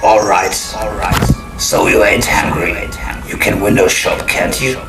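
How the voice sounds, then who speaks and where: An actor playing a ghoulish, gleeful horror storyteller in an audio drama, theatrical, drawling and taunting. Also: male voice, sits low, fairly even intonation, a little slow, gravelly. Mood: sardonic. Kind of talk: horror anthology narration. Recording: roomy echo, distant, music underneath.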